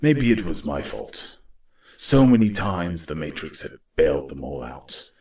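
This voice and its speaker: An actor playing a weary, solemn robot war leader in an audio drama, speaking in a grave, measured way. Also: male voice, a bass voice, big pitch swings, a little mellow, exaggerated voice. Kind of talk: audio drama dialogue and first-person narration.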